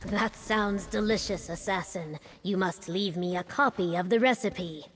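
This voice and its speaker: A woman's voice: medium pitch, measured pace, slight background noise, lightly acted. A woman playing a dignified, gracious fantasy leader in a video game, formal and stately. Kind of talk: video game character dialogue. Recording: long hall-like reverberation, music behind. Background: game music.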